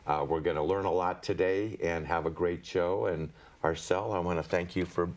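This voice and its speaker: A man hosting a public-access TV interview, asking his guest questions in a measured, friendly way. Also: low-pitched, steady tone. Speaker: host.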